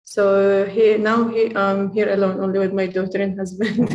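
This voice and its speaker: A woman's voice: mid-range voice, completely flat delivery, a little slow, a little mellow, slight background noise. A woman chatting casually on a video call, polite and a little hesitant in how she talks. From born in the United States, of Jordanian descent. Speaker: medical education center staff, former interpreter. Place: indoor room, on a video call. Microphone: computer video call microphone. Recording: roomy, echoing video call audio.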